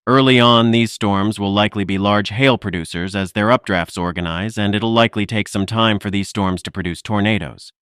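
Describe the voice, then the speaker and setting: A man playing a composed weather livestreamer, giving measured, informal technical forecast commentary. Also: lowish voice, fairly bright tone, clear, resonant tone, dry recording, clean studio sound, natural voice. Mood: focused, analytical.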